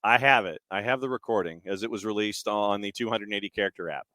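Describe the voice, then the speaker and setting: Man, sports show host, bright clear voice, dry studio-close sound. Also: on the low side, fast, no room sound. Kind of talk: casual sports radio talk, introducing a clip.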